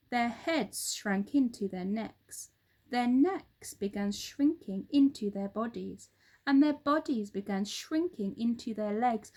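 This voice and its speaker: A woman storyteller reading a children's book aloud with expressive narration. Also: on the high side.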